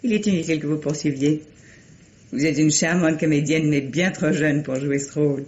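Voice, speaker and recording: A woman's voice: low voice, normal speed, close to an everyday voice. An actress playing a self-assured, politely condescending woman novelist in a 1950s style French radio play. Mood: polite, dismissive. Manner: polished, courteous, gently condescending. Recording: dull, muffled vintage radio recording.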